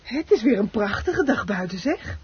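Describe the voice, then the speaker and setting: A woman playing a concerned, motherly wife in a radio play, coaxing and gently scolding. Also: mid-range voice, very animated, normal speed, slightly rough. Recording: muffled, noisy old radio play recording.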